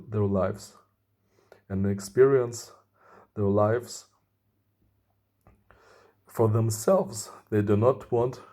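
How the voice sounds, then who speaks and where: Slow, mellow-voiced man in his thirties. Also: on the low side. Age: 30s. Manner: slow, deliberate, earnest explaining. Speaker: vlogger. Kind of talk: personal monologue to camera.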